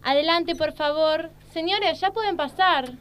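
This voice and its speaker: A woman's voice: very high voice, unhurried, a bit bright. A woman playing an exasperated secretary in a satirical sketch, formal and scolding. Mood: indignant. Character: exasperated female secretary of a lecherous boss. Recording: noticeable background noise and hum.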